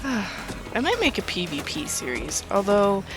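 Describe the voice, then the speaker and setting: Calm young woman rambling over gameplay. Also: medium pitch, unhurried. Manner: calm, soothing, rambling talk with light laughs. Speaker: gaming channel host. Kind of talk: casual gameplay commentary. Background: background music.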